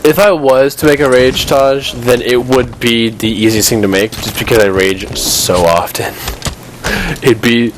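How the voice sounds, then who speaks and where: A man chatting casually while playing a video game, excitable and given to mock ranting, on a noisy recording. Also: medium pitch, unhurried. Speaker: gaming video host and player. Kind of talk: casual banter while gaming.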